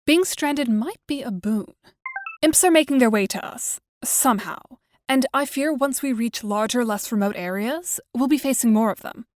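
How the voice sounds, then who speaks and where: Woman acting a composed, wry higher-voiced girl. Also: lightly acted. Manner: formal, dry, measured wording. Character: composed, wry, articulate know-it-all teenage girl. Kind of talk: audio drama acting. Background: music.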